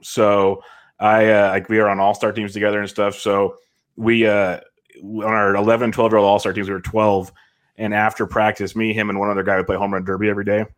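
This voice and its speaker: Lower-voiced laid-back man from California. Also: fairly quick. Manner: relaxed, rambling, laughs often. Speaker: podcast guest. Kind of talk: casual podcast chat.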